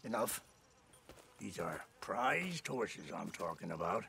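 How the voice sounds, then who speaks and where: An actor playing a suspicious, bitter older family patriarch in a game, with a very creaky voice and a gruff, contemptuous manner. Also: male voice, on the low side, sing-song, takes it slowly, bright, forceful tone, slight background noise, heavily acted. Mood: conspiratorial. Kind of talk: dramatic game cutscene acting.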